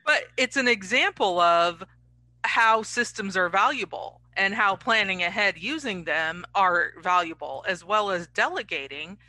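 A woman's voice: medium pitch, lively intonation, a little slow, sharp tone, low-quality recording. A woman hosting a podcast, talking in a conversational, reflective way and prompting her guest. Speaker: podcast host. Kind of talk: casual podcast interview.

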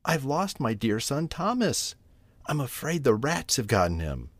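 A fretful mother cat from a children's storybook, voiced by a man, pitched well up. She is tearful and anxious, lamenting as she talks, in a closely recorded, dry sound. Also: sing-song, unhurried, no echo. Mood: tearful welcome.

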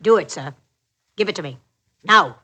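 Scheming bossy goodwife, husky, wide swinging intonation, insistent, old radio play. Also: female voice, lowish voice, expressive, normal speed, sharp tone, exaggerated voice. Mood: insistent, commanding. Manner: commanding, insistent. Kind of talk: old-time radio drama acting. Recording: vintage radio drama recording.

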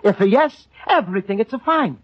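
A man's voice: sits high, animated, brisk. An actor playing a kindly, earnest immigrant man as a store Santa, with an Italian accent and broken English, in old radio style. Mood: earnest pleading. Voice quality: broken English with extra vowels. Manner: earnest, apologetic, eager to please. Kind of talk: old radio comedy-drama acting. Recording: dull, lo-fi old radio recording.

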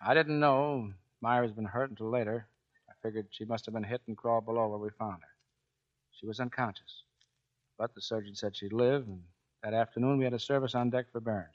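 A man's voice: medium pitch, steady tone, a little fast, close to an everyday voice. A man playing a tough, commanding but caring navy chief in 1940s radio acting. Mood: somber narrating. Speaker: actor in a radio drama. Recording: dull 1940s radio broadcast recording.

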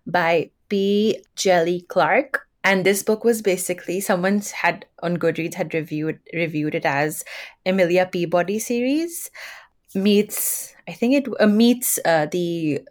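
A woman with a lowish voice, a native Urdu speaker, co-hosting a podcast in casual book chat. She talks with eager enthusiasm, rambling and restarting her sentences as she goes. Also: unhurried. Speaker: podcast co-host.

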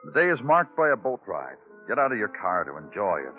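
Brooding detective narrator, deepish voice, clipped poetic lines, tinny vintage radio with music. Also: male voice, very animated, fairly quick. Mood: brooding narration. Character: terse, brooding male police detective who narrates his case. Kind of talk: old-time radio crime drama acting, second-person narration. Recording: muffled, tinny old radio recording with music.

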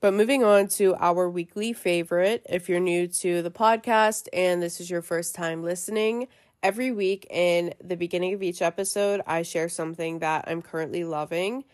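A young woman hosts her podcast with a chatty, easygoing flow, full of little fillers. Her voice sits a little low, and a cold leaves it stuffy and nasal. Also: steady tone, moderate pace, darkish tone. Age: young adult. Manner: chatty, lots of fillers and likes. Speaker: podcast host. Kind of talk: casual chat.

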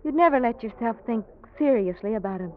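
A woman's voice: on the high side, expressive, brisk, slight background noise, natural voice. Actress as a earnest young American woman, old radio sound. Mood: tender, wistful. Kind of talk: 1940s radio drama acting. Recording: dull old radio recording, music behind.

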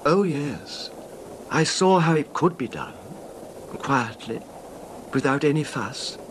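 A quietly vengeful, meek husband. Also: male voice, expressive, slow pace. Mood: quietly confiding. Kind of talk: old-time radio drama acting. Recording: noisy vintage radio drama, rumbling background.

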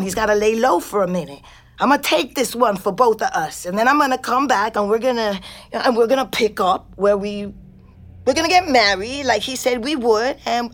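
A woman's voice: a little high, natural voice. An actress playing a bitter, foul-mouthed woman just out of prison, in naturalistic audio drama with slangy, emphatic delivery. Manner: streetwise, slangy, profane, emphatic.

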